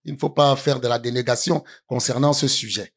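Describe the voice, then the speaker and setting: A man from Cameroon, a guest on a studio panel, speaking in a measured way with frequent hesitations. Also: medium pitch, fairly even intonation, husky. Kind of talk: panel discussion. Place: studio set.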